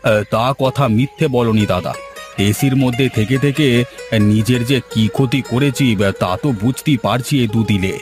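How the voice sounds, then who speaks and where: A man's voice: mid-range voice, normal speed, natural voice. Guy playing spoiled rich brother in law, whiny grumbler, cartoon with some music under it. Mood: rueful complaining. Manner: grumbling, complaining. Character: pampered well-off city brother-in-law, whiny, heat-sick. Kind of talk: cartoon dialogue acting.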